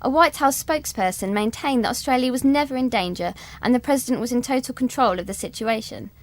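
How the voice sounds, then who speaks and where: A formal female news reader, acted by a woman in a spoof radio show. She is composed and reads from a script. Her pitch is a touch high. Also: fast, dry recording, lightly acted. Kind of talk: scripted news bulletin reading.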